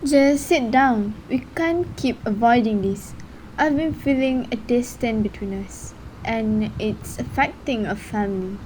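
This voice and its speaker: Casting: actress as troubled young mother and wife, plaintive, higher-than-average female voice, amateur radio play, slight background noise. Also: measured pace, darkish tone, natural voice, poor audio. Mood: earnest pleading. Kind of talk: amateur radio drama acting.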